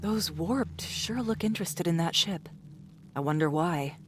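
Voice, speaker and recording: A woman's voice: lowish voice, measured pace, slight background noise, natural voice. An actress playing a tall, bold, decisive woman survivor in an audiobook drama, with a blunt, assertive manner. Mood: curious, observing.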